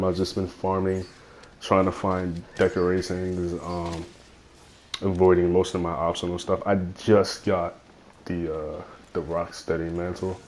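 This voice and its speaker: Young guy w a cold, deep raspy voice, rambly gaming chat, cheap phone headphone mic. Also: darkish tone, slight background noise. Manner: rambling, lots of fillers and swearing. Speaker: gaming YouTuber. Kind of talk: casual gameplay commentary.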